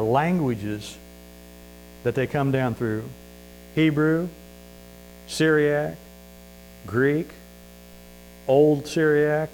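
Folksy Missouri preacher, faint hum. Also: male voice, fairly high pitch, a little mellow, rough recording. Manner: folksy and earnest, many fillers and restarts. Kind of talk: sermon.

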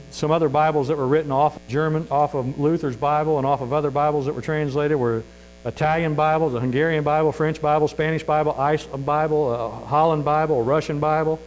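A man's voice: fairly high pitch, darkish tone, rough recording. A man with a Missouri accent preaching a sermon in a folksy, earnest way, full of fillers and restarts. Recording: faint mains hum.

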